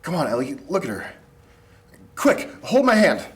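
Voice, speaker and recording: A man's voice: fairly high pitch, huge pitch swings, normal speed. A young man reading the part of an anxious, sardonic college student at a script table read. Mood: nervous, pleading. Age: young adult.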